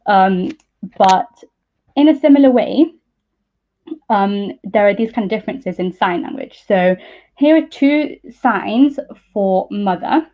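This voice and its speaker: Muffled narrow-band call, young woman, mid pitch, student presenter, informal explanatory science talk, slightly hesitant. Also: animated, slow pace. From East Midlands, England. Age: young adult. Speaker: PhD student speaker.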